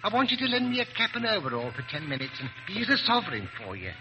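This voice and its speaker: A man's voice: high voice, huge pitch swings, quick pace, noisy background, exaggerated voice. An actor playing a chatty working-class London milkman, telling his story in a plain, folksy way in 1930s radio drama style. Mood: explaining.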